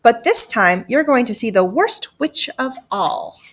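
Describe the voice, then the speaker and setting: A woman's voice: fairly high pitch, lively intonation, a little slow. A woman voicing a scornful, bossy ugly mother in a children's storybook, haughty and scolding. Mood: grudging.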